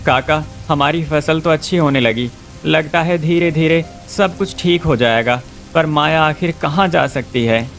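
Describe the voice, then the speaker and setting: A man's voice: a little high, a little slow, a bit bright, soft background music. A man voicing an earnest, emotional young village husband in a cartoon story. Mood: heartbroken. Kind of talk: Hindi cartoon story voice acting.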